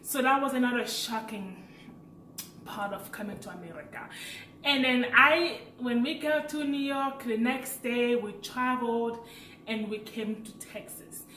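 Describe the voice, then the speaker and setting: A woman's voice: fairly high pitch, a little slow, distant mic, slight background noise. Chatty French-accented woman in an echoey room. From Africa. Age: late 20s. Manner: chatty and animated, laughs while telling stories. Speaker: vlogger. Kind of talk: casual personal storytelling.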